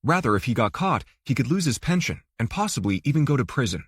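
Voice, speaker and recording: Expressive male audiobook narrator, slightly husky. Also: medium pitch, fast talker, dry recording, studio quality. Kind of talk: scripted fiction narration.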